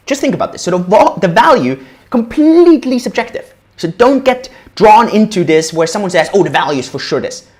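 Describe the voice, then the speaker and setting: A man's voice: high voice, brisk. A man in his thirties from Austria, giving a casual livestream lecture on investing at a whiteboard, often tagging sentences with "right?". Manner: animated, casual, frequent "right?" tag questions. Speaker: crypto educator.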